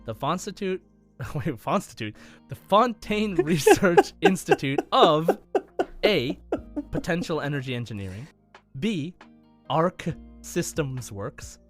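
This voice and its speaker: A man hosting a gaming podcast in casual, enthusiastic talk, with halting starts and emphatic stresses. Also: on the high side, big pitch swings, faint music behind. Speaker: podcast host and quizmaster.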